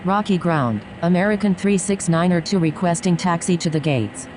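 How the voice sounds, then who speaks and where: Simulator game radio role, played by a woman: fairly low pitch, clipped and formulaic, businesslike, slight background noise. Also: normal speed, darkish tone, close to an everyday voice. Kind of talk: clipped procedural radio phraseology.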